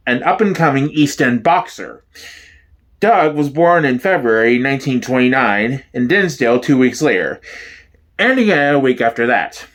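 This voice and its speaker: Higher-pitched man, dramatic documentary narration. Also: fairly bright tone. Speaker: solo performer, narrator.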